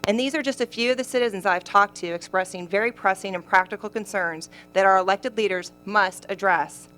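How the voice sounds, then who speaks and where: Earnest, bright-voiced Tennessee woman candidate. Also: medium pitch, soft background music, poor audio. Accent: Southern US, Tennessee. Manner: earnest, measured delivery. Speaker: county commission candidate. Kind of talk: prepared campaign closing statement. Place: county government meeting room.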